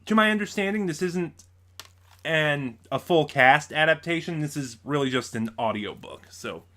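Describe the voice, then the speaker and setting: A man's voice: on the high side, lively intonation, moderate pace, sharp tone. A man vlogging a casual unboxing review, explaining things in a rambling way and often correcting himself. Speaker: vlogger reviewing audio drama purchases.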